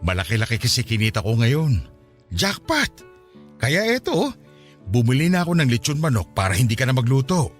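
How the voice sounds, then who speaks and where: Animated, cheerful husband, played by a man. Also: medium pitch, normal speed, faint music behind, lightly acted. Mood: cheerful, reassuring. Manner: animated, easygoing, reassuring. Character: cheerful, caring working-class husband and junk collector. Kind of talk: Filipino radio drama acting.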